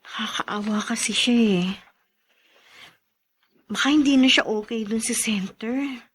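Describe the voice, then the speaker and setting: A woman playing a gentle young wife with a husky, breathy voice in a Filipino radio drama. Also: on the high side, a little slow. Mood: wistful. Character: gentle young pregnant wife, wistful at home.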